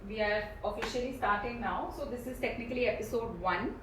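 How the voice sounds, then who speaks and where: A woman's voice: a little high, a little fast, distant mic. A chatty woman from Delhi with an Indian English accent leads the questions in a casual podcast chat. She sounds set back from the mic, in an echoey room with faint noise behind her. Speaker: podcast co-host.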